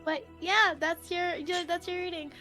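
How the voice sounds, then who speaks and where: A woman's voice: very high voice, steady tone, normal speed, sharp tone, faint music behind. A woman hosting a casual live stream as a tarot reader, giggly and informal in manner.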